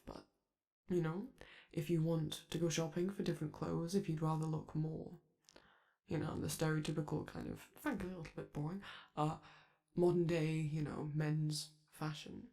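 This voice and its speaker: A man voicing a flamboyant, affectionate male robot star who speaks softly and teasingly to his partner in a romantic ASMR roleplay. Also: a little high, moderate pace, creaky. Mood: reassuring. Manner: soft, doting, teasing, reassuring.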